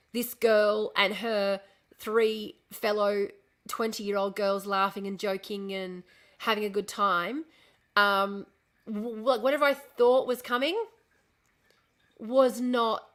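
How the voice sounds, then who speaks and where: A young woman chatting on a casual live stream, ranting and swearing freely while her voice is going hoarse. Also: mid-range voice, steady tone, slow pace, fairly bright tone. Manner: ranting, lots of swearing and restarts. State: losing her voice, coughing. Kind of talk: casual live-stream chat reading comments.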